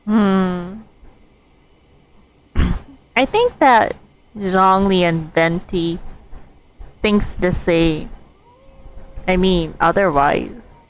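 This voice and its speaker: Slow-paced woman streaming a game. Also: lowish voice, low-quality recording. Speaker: game livestreamer. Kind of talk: casual gameplay commentary, reacting to story dialogue. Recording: background game ambience and noise.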